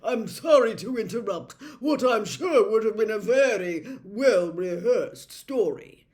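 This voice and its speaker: A woman voicing a pompous, impatient middle-aged male official who speaks loudly and loftily. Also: medium pitch, lively intonation, a little slow, lightly acted. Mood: haughty. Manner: loud, lofty, snappish.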